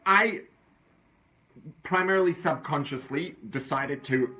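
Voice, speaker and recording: A man's voice: on the high side, slow pace, sharp tone. A man teaching an informal religious class, reasoning aloud in a conversational way and often checking in with his listeners. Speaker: Torah teacher.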